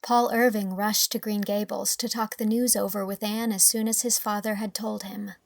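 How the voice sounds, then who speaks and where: A woman's voice: mid-range voice, flat delivery, normal speed, dry recording. A woman narrating a novel as a scripted audiobook.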